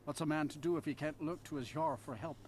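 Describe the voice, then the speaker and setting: A man's voice: fairly high pitch, steady tone, quick pace, darkish tone, slight background noise, close to an everyday voice. An actor playing a disgruntled, suspicious townsman in a fantasy game, grumbling bitterly. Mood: bitter, worried. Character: disgruntled, suspicious male townsman fed up with his ruler. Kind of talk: dramatic game dialogue.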